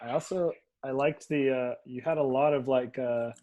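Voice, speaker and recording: A man hosting a casual video-call chat about a music challenge, laid-back, filling pauses with ums and laughing often. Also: medium pitch, steady tone, warm tone, a little hiss or hum. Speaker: host of a music remix challenge.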